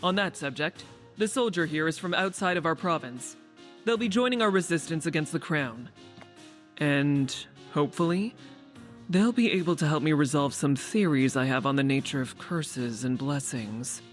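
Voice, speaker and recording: A woman's voice: low-pitched, natural voice. Woman acting a composed, commanding rebel leader. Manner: measured, formal, commanding. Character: composed, authoritative female resistance leader. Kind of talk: video game quest dialogue. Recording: faint background music.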